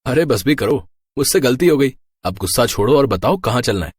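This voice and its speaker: A man playing a husky, placating young husband. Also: medium pitch, expressive, fairly quick, no echo. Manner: coaxing, explanatory. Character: placating, secretive young husband of a horror tale. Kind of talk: acted horror storybook dialogue in Hindi.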